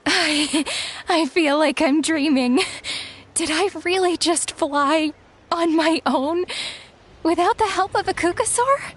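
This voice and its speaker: A timid, wonderstruck youngster with a very high voice. The words come slowly and wide-eyed, as in a video game, over faint music. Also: female voice, slight background noise. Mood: dazed.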